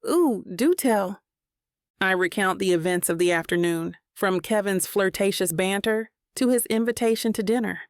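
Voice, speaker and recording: A woman's voice: mid-range voice, steady tone, normal speed, few pauses, clean tone, no echo, clean studio sound. A woman reading scripted first-person romance fiction as an audiobook narrator.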